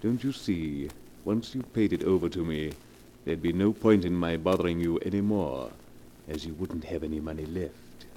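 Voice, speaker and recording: An actor playing a smooth, mockingly polite blackmailer in a taunting 1940s radio melodrama style. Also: male voice, low-pitched, moderate pace, mellow, natural voice. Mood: smug, taunting. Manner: suave, taunting, mock-courteous. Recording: noisy vintage 1940s radio recording.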